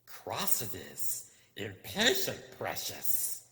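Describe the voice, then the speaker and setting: A man's voice: lowish voice, wildly swooping pitch, pushed and bright, heavily acted. A man voicing a wretched, wicked, obsessive cave creature who hisses and whispers to himself in a strained voice, as in a storybook reading. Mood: sly, impatient. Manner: talks to himself, drawn-out muttering. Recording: echoey room with long reverb.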